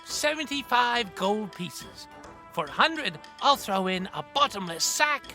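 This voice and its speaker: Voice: big pitch swings, unhurried, soft background music. An actor voicing a cheery gnome shopkeeper with theatrical salesman patter, in an audio fantasy drama. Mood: gleeful, sly. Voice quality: high, bright cartoonish voice. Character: cheery gnome shopkeeper hawking magical trinkets.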